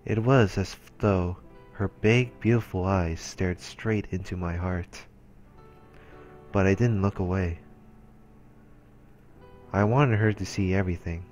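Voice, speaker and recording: A man's voice: low voice, takes it slowly, pauses often, a little mellow, highs cut off. A man reading visual novel text aloud as a let's play narrator, in a dramatic storytelling style. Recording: background music behind the voice.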